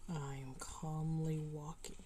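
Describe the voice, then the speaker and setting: A woman chats casually as she streams a video game. Her voice is low and she takes her time, tossing out dry, muttered asides. There is faint noise behind her. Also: little pitch movement, takes it slowly, warm tone. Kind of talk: casual game livestream commentary.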